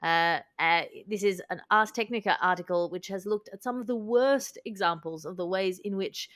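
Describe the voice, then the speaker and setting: A woman's voice: medium pitch, normal speed, a bit bright, no echo. A woman hosting a comedy news podcast, bantering in wry, wordy, mock-grand phrases. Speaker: podcast host.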